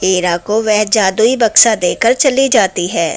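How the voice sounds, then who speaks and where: A woman's voice: medium pitch, measured pace, soft background music. A woman narrating a scripted fairy tale for children. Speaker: storyteller.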